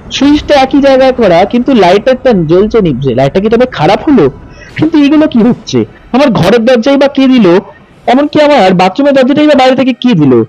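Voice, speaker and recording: A high-pitched man narrates a ghost story. His delivery swings widely in pitch and builds suspense. He leans on dramatic pauses and repeated phrases as he tells it in the first person. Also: a little mellow, low-quality recording.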